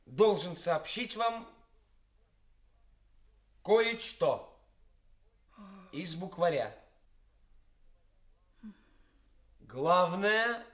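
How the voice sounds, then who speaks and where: Slow-talking, halting young suitor, higher voice. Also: male voice, fairly bright tone, exaggerated voice. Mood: lecturing, cynical. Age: young adult. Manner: halting, stammering, deferential. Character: nervous young male suitor, an actor slipping into cynical bravado. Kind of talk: theatrical radio play acting. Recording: 1980s radio play with faint music.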